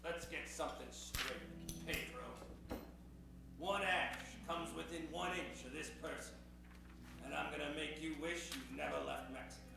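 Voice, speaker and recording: A man playing a gruff, threatening Old West sheriff in an improvised radio comedy. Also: a little high, steady tone, normal speed, recorded from a distance. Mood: defiant. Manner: threatening, stubborn. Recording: echoey hall from afar, music behind.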